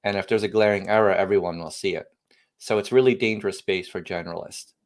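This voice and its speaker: Very low male voice; tech-company founder in interview, loose and emphatic, many self-corrections. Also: fast. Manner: rambling, frequent restarts, emphatic bursts. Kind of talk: podcast interview, casual technical talk.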